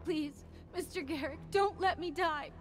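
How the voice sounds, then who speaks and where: A woman's voice: extremely high pitch, measured pace. A woman playing an innocent, frightened young woman in a video game, pleading politely over music. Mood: desperate pleading. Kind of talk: video game character dialogue. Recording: game clips under loud music.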